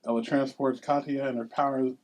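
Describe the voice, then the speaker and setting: A man serves as narrator for a table read. He reads screenplay headings and action lines in a medium-pitched voice. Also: flat delivery, brisk, a little mellow. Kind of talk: reading screenplay directions aloud.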